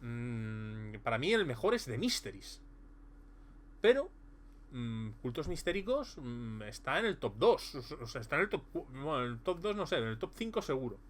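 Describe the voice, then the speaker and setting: A man's voice: medium pitch, big pitch swings, normal speed. A man casually reviewing a tabletop role-playing game, rambling with many fillers and restarts. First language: Spanish.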